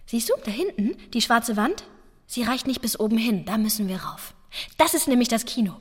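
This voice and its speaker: A woman's voice: a little high, big pitch swings, moderate pace, slightly rough, no echo, clean studio sound. A woman playing a spirited, practical, idealistic young pregnant wife in a German radio drama, speaking plainly and with emphasis. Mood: matter-of-fact.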